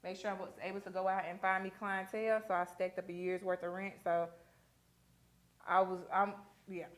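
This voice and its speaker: A woman's voice: mid-range voice, flat delivery, brisk. A woman, a makeup artist chatting casually and explaining her steps as she works in a beauty studio. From Mississippi. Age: 20s. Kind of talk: casual chat while working.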